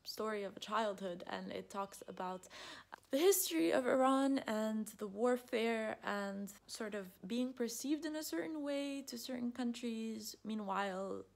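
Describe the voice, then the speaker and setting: A woman's voice: on the high side, a little slow, gravelly. A woman in her twenties whose first language is Arabic, talking through her recent reads in a thoughtful, chatty way with many fillers, recorded on a close webcam at home. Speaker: book vlogger. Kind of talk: casual book review. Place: home room with bookshelves. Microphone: webcam at close range.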